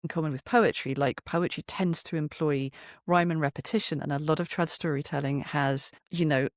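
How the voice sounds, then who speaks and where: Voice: medium pitch, steady tone, moderate pace, dry recording. Discursive podcast guest with a very dry sound. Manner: discursive, self-interrupting asides. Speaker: podcast guest, storyteller and voice performer. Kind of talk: conversational interview, explaining from experience.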